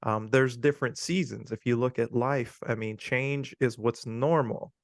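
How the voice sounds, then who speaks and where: A man in his forties from Guyana, a podcast guest talking in a relaxed, chatty way with frequent ums. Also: mid-range voice, unhurried, no room sound. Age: 40s. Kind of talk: casual interview talk.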